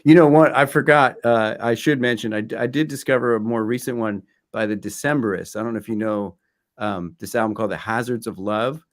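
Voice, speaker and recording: A man talking casually as an interview guest, halting and circling back to repeat his phrases. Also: mid-range voice.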